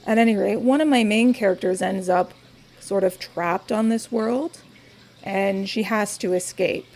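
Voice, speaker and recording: A woman with a raspy voice, talking softly and unhurriedly through a quiet crafting vlog in her home studio, with wry asides. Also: medium pitch, measured pace, a little hiss or hum, poor audio. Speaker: crafting vlogger.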